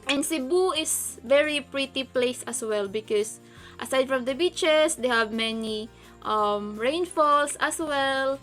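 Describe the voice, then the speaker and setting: A Filipino woman whose first language is Visayan talks in a bright, fairly high voice. She goes slowly and hesitantly, as in a casual question-and-answer vlog, with music playing behind her. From Philippines. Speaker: video blogger.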